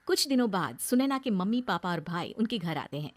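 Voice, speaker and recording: A woman's voice: mid-range voice, big pitch swings, a little fast. A woman reading scripted narration for a moral story as its storyteller.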